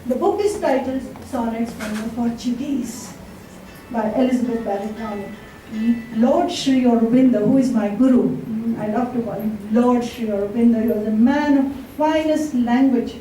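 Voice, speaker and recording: A woman's voice: on the high side, normal speed, mellow. A woman giving an effusive, emphatic introduction at a live literary event, savoring her phrases. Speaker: event speaker introducing readers. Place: large echoey room at a live event. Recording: echoey hall, distant mic, faint noise.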